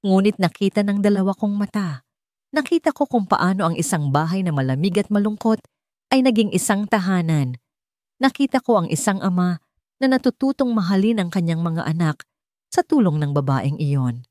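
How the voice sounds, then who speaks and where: A woman's voice: mid-range voice, animated, moderate pace, a little mellow, dry recording, clean studio sound, natural voice. A woman voicing a stern elderly grandmother in an audio story, speaking with emphatic, accusing conviction. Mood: indignant, accusing.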